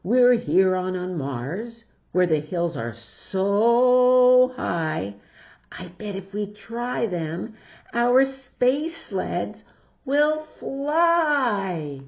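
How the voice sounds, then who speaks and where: An adventurous, eager young boy from a storybook, voiced by a woman. He stretches words for emphasis with animated pitch, and the recording is a little dull. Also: extremely slow, gravelly, heavily acted. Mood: excited. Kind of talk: storybook read-aloud character voice.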